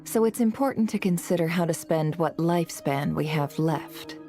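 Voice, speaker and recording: A shrewd, philosophical boss in a game, in a slightly low voice. Her delivery is measured, formal and wry, with soft music underneath. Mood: grave, resolved. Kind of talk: video game voice acting.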